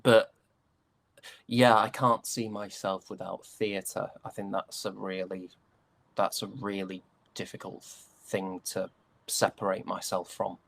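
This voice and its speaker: A man's voice: fairly low pitch, little pitch movement, slow pace, vocal fry, a bit bright. A man giving casual interview answers as a guest, thoughtful and hesitant. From United Kingdom.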